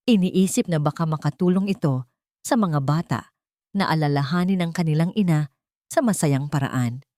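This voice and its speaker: A woman reads a dramatic story as narrator. Her voice sits a little low for a woman, and the sound is very dry, with almost no room around it. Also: darkish tone, dry recording.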